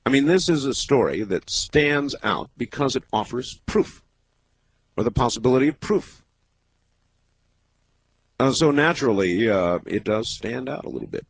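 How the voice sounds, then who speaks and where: A man in his fifties with a low, husky voice, hosting a late-night call-in radio show from a close studio microphone. He talks in a measured, musing way, with frequent "uh" pauses, and the sound is dry and close. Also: no echo, poor audio. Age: 50s. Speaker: radio talk show host.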